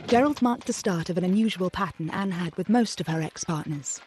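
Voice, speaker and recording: A woman's voice: fairly low pitch, brisk, darkish tone, a little hiss or hum. A woman reading scripted narration for a documentary. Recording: clear music bed behind. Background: background music.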